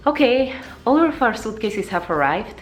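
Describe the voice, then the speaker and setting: A woman's voice: a little high, big pitch swings, measured pace, voice pushed up. A woman voicing a bubbly young woman traveler. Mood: excited. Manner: bubbly, exclaiming.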